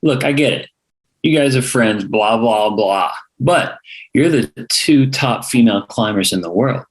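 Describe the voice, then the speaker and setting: A man's voice: medium pitch, animated, unhurried, close to an everyday voice, low-quality recording. A man reading the part of a skeptical, pushy young hipster journalist in a script table read. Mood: pressing, skeptical.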